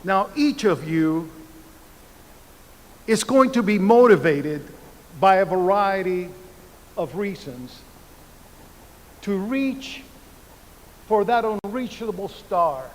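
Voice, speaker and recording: Older Cuban-born man, commencement speaker, high-ish voice, slow deliberate delivery, reverberant arena. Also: animated. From Cuba. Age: 70s. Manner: slow, deliberate oratory with emphatic pauses. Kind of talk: commencement speech. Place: large university arena. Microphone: podium microphone.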